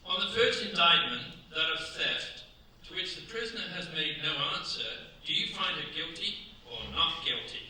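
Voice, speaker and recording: A man's voice: on the high side, animated, normal speed, bright, forceful tone. A man playing a stern, commanding official in a staged radio play, declaiming formally. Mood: stern, solemn. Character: stern, commanding French judge and prison governor. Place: theatre stage before a mic. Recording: very echoey hall, distant mic, noise.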